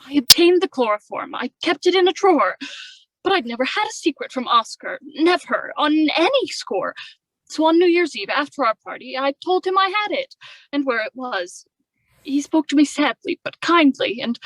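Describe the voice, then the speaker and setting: A woman's voice: high-pitched, no room sound, poor audio. A woman playing an unhappy, emotional young widow in a radio drama. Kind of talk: old-time radio drama acting.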